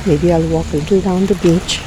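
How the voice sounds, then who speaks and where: A woman telling a folktale outdoors in an expressive, repetitive storytelling manner. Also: lowish voice, brisk, background noise. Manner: expressive, repetitive, sound effects. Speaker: storyteller, host. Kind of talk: oral folktale storytelling to camera. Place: outdoors on a beach.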